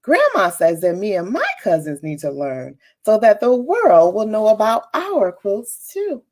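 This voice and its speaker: A woman's voice: medium pitch, huge pitch swings, measured pace, darkish tone. A woman voicing a young storybook girl, teasing and easily impressed, with an exclamatory, childlike manner. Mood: amazed.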